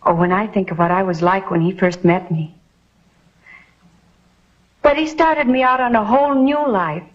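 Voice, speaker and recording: An American actress playing an earnest, wistful young blind telegraph operator in an old TV western. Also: female voice, medium pitch, normal speed, lightly acted. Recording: dull vintage TV western soundtrack.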